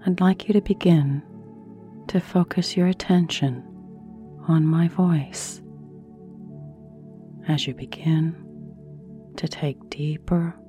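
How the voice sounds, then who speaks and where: A woman guides a sleep meditation extremely slowly, in a low, mellow voice. Her soothing phrases trail off into breathy near-whispers between long pauses, over faint ambient music.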